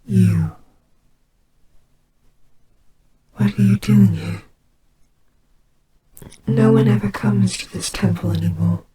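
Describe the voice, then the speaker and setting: A woman voicing a gentle, soothing goddess of death in an audio roleplay, speaking softly and breathily with hesitant, reassuring care for a child. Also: medium pitch, fairly even intonation, unhurried, close to an everyday voice. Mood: gentle, concerned. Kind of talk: slow dramatic audio roleplay. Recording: clearly noisy amateur home recording. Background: ambience sound effects.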